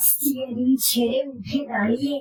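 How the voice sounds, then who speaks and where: Woman storyteller, horror narration, theatrical, suspenseful, measured phrases, distant sound, music underneath. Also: sits high, steady tone, darkish tone, reverberant. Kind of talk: dramatic first-person horror narration.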